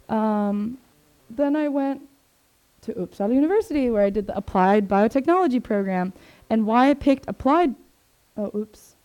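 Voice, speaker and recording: A woman's voice: medium pitch, warm tone. A young woman, giving a casual, filler-heavy career talk to students in a classroom. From Sweden, raised in Maryland, USA. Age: 20s. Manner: chatty, informal, many fillers and asides. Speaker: presenter. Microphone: 360 camera in the room.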